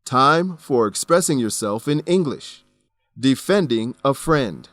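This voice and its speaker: A man narrates a scripted English lesson at a medium pitch. His delivery is measured and instructional, recorded very dry with light music. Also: animated, fairly bright tone, dry recording. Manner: measured, didactic.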